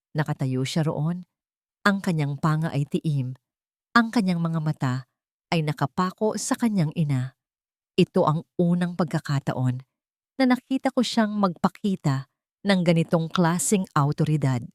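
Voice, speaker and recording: A woman with a Filipino accent narrating a dramatic first-person story in measured, solemn, deliberate phrases. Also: low-pitched, lively intonation, darkish tone, dry recording, studio quality. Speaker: audiobook narrator.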